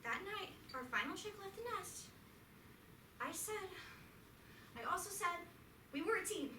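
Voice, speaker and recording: A woman's voice: extremely high pitch, expressive, unhurried, pushed and bright, a little hiss or hum, full character voice. A woman reading the part of a frank, affectionate middle-aged wife in a staged play reading. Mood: earnest. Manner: earnest stage reading, rising to exasperated outbursts. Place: theatre auditorium. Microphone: distant stage pickup. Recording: echoey theatre taped from far off.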